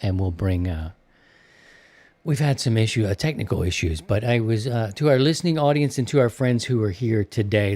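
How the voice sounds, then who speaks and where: A man's voice: lowish voice, a little mellow. A man in his early fifties hosting a hobby radio show in a casual, candid and chatty way. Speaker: host of a ham radio show. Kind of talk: casual podcast chat.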